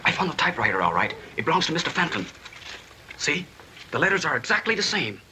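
A man's voice: a little high, very animated, fast, noisy background. An actor playing an eager young man assisting his detective father in a 1930s film.

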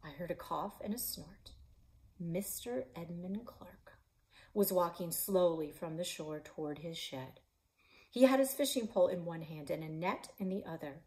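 A woman in her forties, a librarian and author, reading her children's novel aloud in a living room, voicing the characters with shouts and whispers. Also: fairly low pitch, expressive, unhurried. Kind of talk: children's book read-aloud. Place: living room in front of a stone fireplace.

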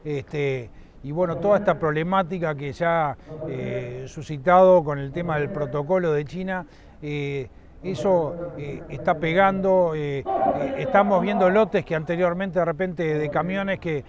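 A man's voice: fairly high pitch, lo-fi sound, crowd noise. A middle-aged man with a Uruguayan accent, the president of a rural association, giving an outdoor interview with frequent fillers and restarts. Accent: Uruguayan Spanish. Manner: halting, many fillers and restarts. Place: outdoors at a livestock fairground.

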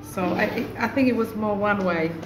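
A woman's voice: mid-range voice, moderate pace. A middle-aged woman from Poland, a native Polish speaker with a Polish accent, speaking as a guest artist in a casual interview. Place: live in a taproom bar. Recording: echoey, noisy live venue recording.

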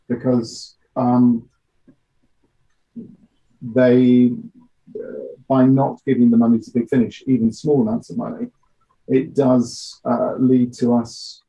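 A middle-aged man giving measured, matter-of-fact interview answers with hesitations over a video call from a small office. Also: mid-range voice, dark tone. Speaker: audio drama producer being interviewed. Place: small home office, indoors. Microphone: computer mic on video call. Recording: dull, lo-fi video-call audio.

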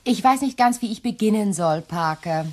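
A woman's voice: medium pitch, lively intonation, normal speed, a little mellow, close to an everyday voice. An actress playing a composed, touchy widowed lady of the house in a crime radio play, genteel and evasive. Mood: hesitant, gentle.

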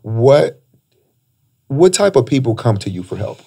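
Male host, thirties, American, mid-pitched voice, loose slangy teasing delivery, casual studio podcast chat. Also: big pitch swings, a little slow, creaky, dark tone, husky. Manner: laid-back, teasing, slangy. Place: small foam-treated podcast studio. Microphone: close boom-arm studio microphone.

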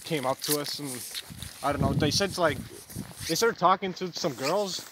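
A man's voice: a little high, moderate pace, background noise. A young man chatting casually with a friend outdoors at night, laid-back and swearing casually. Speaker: visiting friend. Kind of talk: casual chat.

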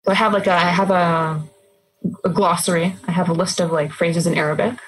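A woman's voice: on the low side, fairly even intonation, poor audio. A woman in her thirties, an emergency nurse, talking casually. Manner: chatty, quick asides with fillers like "like". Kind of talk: casual interview chat.

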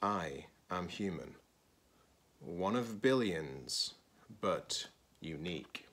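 A middle-aged man, a teacher-librarian, reading a children's picture book aloud deliberately, in short phrases with pauses. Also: low voice, expressive, fairly bright tone.

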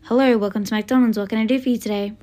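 A woman playing a fast-food drive-thru worker, reciting polite service patter. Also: a little high, steady tone, mellow, lightly acted.

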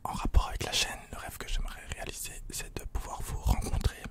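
Guy whispering asmr into a close mic, slow and a bit hesitant. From Belgium. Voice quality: breathy whisper. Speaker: ASMR YouTuber answering questions. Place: small attic room indoors. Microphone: desk condenser microphone, close.

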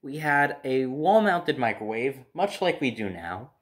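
A man's voice: medium pitch, big pitch swings, a little slow, a bit bright. A young man telling a personal story casually to the camera. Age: young adult. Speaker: storyteller, vlogger. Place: living room at home, by a window.

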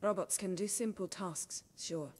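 A woman's voice: mid-range voice, measured pace, darkish tone, a little hiss or hum, close to an everyday voice. A British-accented woman playing a cool, sardonic adventurer in a video game, dry and skeptical in manner.

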